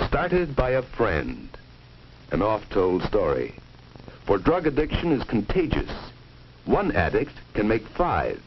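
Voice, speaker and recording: A man's voice: fairly low pitch, huge pitch swings, slow pace, slightly rough. A man reading a grave, authoritative scripted narration in the style of a 1950s educational film. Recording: dull old 1950s film soundtrack, music.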